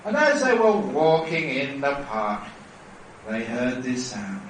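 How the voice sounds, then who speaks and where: Male monk teacher, slow and animated, storytelling at a retreat; echoey, distant temple hall sound. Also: medium pitch, a little hiss or hum. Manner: storytelling, playful and warmly persuasive. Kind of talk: dharma talk at a retreat.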